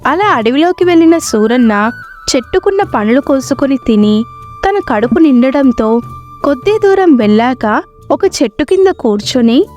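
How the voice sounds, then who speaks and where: A woman narrates a scripted moral story as the storyteller. Her voice is very high, and soft music plays faintly behind her. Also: lively intonation, moderate pace. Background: faint background music.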